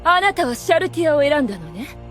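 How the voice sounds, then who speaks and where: A woman's voice: sits high, big pitch swings, quick pace, a bit bright, soft background music. A woman playing a proud, scheming demon woman in an anime audio drama, with theatrical, formal feminine speech. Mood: suspicious.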